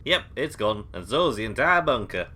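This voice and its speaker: Man playing a blunt, bright-voiced, grumpy survivor. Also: mid-range voice, moderate pace. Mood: deadpan. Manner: deadpan, blunt, grumbling. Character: gruff bunker-dwelling survivor man, blunt, deadpan, grumpy. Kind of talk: comedic audio drama acting.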